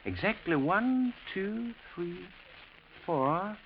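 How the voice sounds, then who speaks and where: An actor playing a breezy, polite and gossipy English gentleman neighbor, with a British accent, in 1940s radio drama style. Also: high-pitched, very animated, drawn-out words, heavily acted. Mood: confiding, gossipy. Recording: muffled, noisy vintage radio drama recording.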